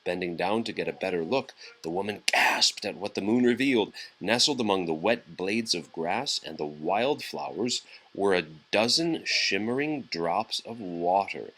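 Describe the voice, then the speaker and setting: A man's voice: lowish voice, fairly bright tone, breathy edge, low-quality recording. A man reading a children's picture book aloud as a story for a young child.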